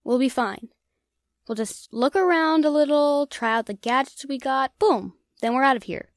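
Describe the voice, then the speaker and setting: A woman's voice: high-pitched, normal speed, no echo, clean studio sound, natural voice. A woman acting a cocky young ghost-hunting vlogger in an audio drama, brash and teasing. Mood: reassuring. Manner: brash, teasing, talks herself up.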